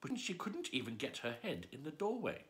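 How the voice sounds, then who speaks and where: A man's voice: fairly high pitch, wildly swooping pitch, moderate pace. A man reading a bedtime story aloud, playful and adding asides to the listener.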